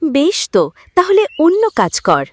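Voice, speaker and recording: A woman's voice: very high voice, huge pitch swings, normal speed, dry recording, heavily acted. A woman voicing a kindly mother goddess in a cartoon moral story, tender and reassuring. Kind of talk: animated moral story voice acting.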